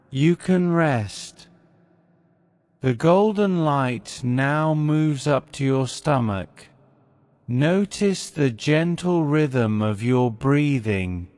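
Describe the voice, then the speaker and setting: A man leads a guided sleep hypnosis with a gentle, soothing delivery. His voice is smooth and resonant, and he speaks extremely slowly with deliberate phrasing. A long reverb trails after his words. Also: mid-range voice. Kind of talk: guided sleep meditation, scripted.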